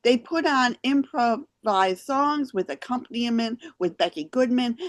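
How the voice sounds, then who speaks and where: A woman hosting a theater show, chatting with guests and giving spoken reviews in an expressive way. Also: mid-range voice.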